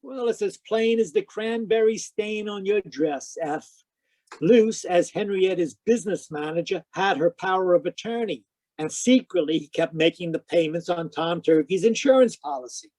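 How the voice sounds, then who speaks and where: A man's voice: high voice, normal speed, darkish tone, dry recording. Radio-drama private eye played by a man: tough, sardonic, theatrical 1940s patter, dry recording. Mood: brisk, triumphant. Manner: wry, clipped, theatrical narration and banter. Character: Sam Spade, wry hard-boiled male private detective. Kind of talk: 1940s radio detective acting.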